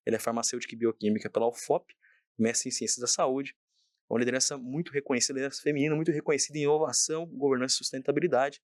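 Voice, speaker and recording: A man's voice: medium pitch, steady tone, very fast, no echo, studio quality. A man hosting an interview podcast, enthusiastic and effusive as he introduces his guest. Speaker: podcast host.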